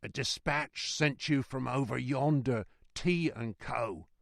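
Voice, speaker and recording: A man puts on a rough rider bringing messages in a storybook. The voice is hoarse and coarse, recorded close and dry. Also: mid-range voice, slow pace, no room sound. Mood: dogged. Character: hoarse, rough male messenger on horseback. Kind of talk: dramatised storybook reading.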